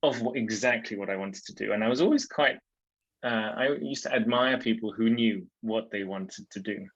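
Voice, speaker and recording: A man from Switzerland, a podcast guest telling his life story in a thoughtful, reflective way, often pausing on ums. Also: lowish voice, slightly rough, muffled recording. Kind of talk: casual interview conversation.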